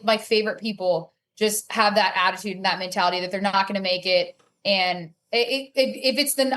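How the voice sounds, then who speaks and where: A woman's voice: mid-range voice, a bit bright. A woman speaking casually and emphatically as an opinionated player being interviewed on a sports podcast.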